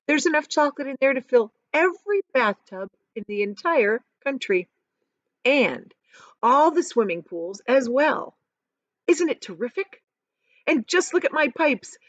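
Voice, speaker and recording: Woman acting out eccentric male factory owner, boastful, exclamatory, very lively pitch swings, storybook character, slightly dull dry recording. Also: measured pace, no room sound. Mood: thrilled boasting. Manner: emphatic, exclamatory, repeats phrases for effect.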